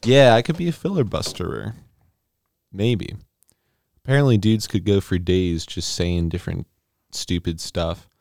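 A man's voice: sits low, animated, measured pace, gravelly, a little mellow, no room sound. A man hosting a radio show, chatting casually in a rambling, joking way. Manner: rambling, joking, self-deprecating.

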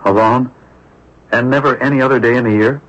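A man's voice: mid-range voice, fairly even intonation, fairly quick, fairly bright tone, natural voice. A man playing an earnest, curious neighbor in an old-time radio mystery, speaking in a hesitant, confiding manner. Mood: intrigued, confiding. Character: intrigued, earnest male amateur treasure-seeker and curious neighbor. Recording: dull, lo-fi old radio recording.

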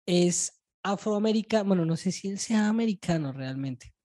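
A woman's voice: medium pitch, lively intonation, normal speed, dark tone. A woman with a Colombian accent co-hosting a casual podcast chat in blunt slang, swearing freely. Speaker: podcast co-host. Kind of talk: casual chat about pop culture.

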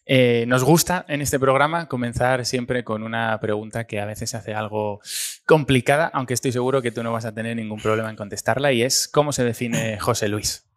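A man's voice: medium pitch, fairly even intonation, brisk. A man hosts a podcast interview in an upbeat, courteous way. His voice sits in the middle range for a man, and his long questions are sprinkled with small hesitations. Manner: upbeat, polite, some hesitant fillers. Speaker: podcast host.

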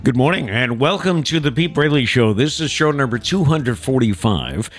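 Chatty nasal middle-aged host. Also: male voice, mid-range voice, animated, normal speed, faint music behind. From South Coast Massachusetts. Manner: chatty, reads segment rundowns. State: congested from a cold. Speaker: show host. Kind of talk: casual morning show chat. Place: small studio.

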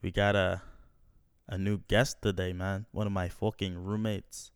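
A man's voice: low-pitched, flat delivery, a little slow, clean tone, dry recording, clean studio sound. A young man hosting a casual podcast at home, slangy and laid-back, swearing often. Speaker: podcast host. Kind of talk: casual profane podcast chat.

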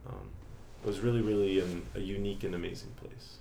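A young man from France, a teacher, answering interview questions in a relaxed way with frequent ums and uhs. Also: sits low, brisk, vocal fry. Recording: echoey room with faint ambient noise.

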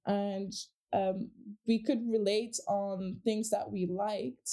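A woman's voice: mid-range voice, little pitch movement, takes it slowly, warm tone. A woman from Portugal, a native Portuguese speaker, talking about her background in a reflective casual conversation with many restarts. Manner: reflective, with frequent restarts and fillers.

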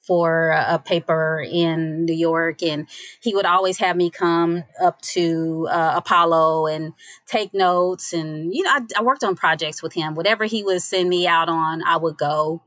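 Slow-talking woman guest, low voice. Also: steady tone, a bit bright, dry recording. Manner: unhurried, many fillers, laughs easily. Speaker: guest storyteller. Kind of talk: casual interview chat.

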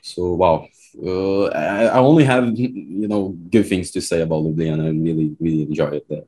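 A man's voice: low voice, moderate pace, warm tone, breathy edge. A man hosting a podcast in loose, enthusiastic casual chat, calling his guest bro and man. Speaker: podcast host. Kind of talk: casual conversation.

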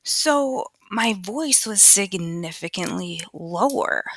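A woman's voice: mid-range voice, lively intonation, takes it slowly, a bit bright, no echo. Chatty woman podcaster on a headset mic. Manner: chatty, rambling, filler-heavy. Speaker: podcast host. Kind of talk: casual solo podcast chat. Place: home, at a PC. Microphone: USB computer headset. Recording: dry close headset mic.

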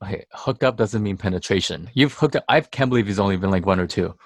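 A man's voice: lowish voice, fast, dry recording. A young man co-hosting a podcast in casual chat, playful and laughing often, whose first language is Chinese. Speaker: podcast co-host.

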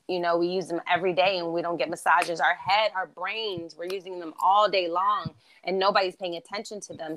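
A woman's voice: on the low side, a bit bright, poor audio. A woman born in Germany with German as her first language, speaking English with an American East Coast accent in an upbeat, chatty, motivational way as an interview guest. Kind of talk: casual interview chat.

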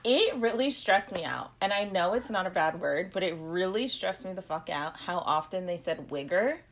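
A woman's voice: fairly low pitch, lively intonation, moderate pace. Young woman co-host, playful and teasing, casual chat with emphatic bursts. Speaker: podcast co-host.

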